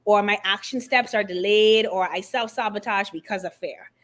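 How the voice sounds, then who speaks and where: A middle-aged woman from the Bahamas, a guest author and confidence coach, telling her story in an expressive, animated way during an interview. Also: mid-range voice, normal speed. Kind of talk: conversational interview.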